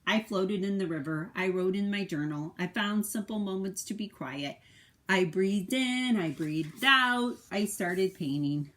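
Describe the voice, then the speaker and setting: A woman voicing an earnest, worried male egg who tells his own storybook tale, with expressive, dramatic delivery. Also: mid-range voice, a little slow. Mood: calm, hopeful.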